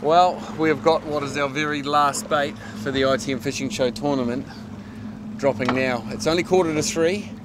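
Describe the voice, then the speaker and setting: Jokey New fishing host. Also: male voice, fairly high pitch, fairly even intonation, normal speed, background noise. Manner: chatty, jokey, playfully dramatic. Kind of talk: casual on-camera narration. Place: outdoors on a boat at sea. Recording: music bed underneath.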